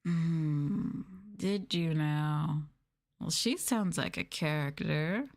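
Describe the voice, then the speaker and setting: A woman's voice: low voice, very slow. A woman livestreaming an ASMR roleplay, talking down to her viewers in a teasing, sultry, condescending way. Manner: teasing, condescending, mock-sadistic dominance.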